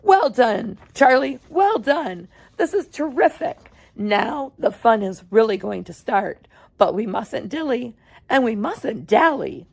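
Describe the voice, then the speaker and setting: A woman's voice: on the high side, big pitch swings, slow, creaky, husky, exaggerated voice. A woman voicing an eccentric, cheerful man who owns a chocolate factory, talking in a bouncy, theatrical way. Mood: gleeful.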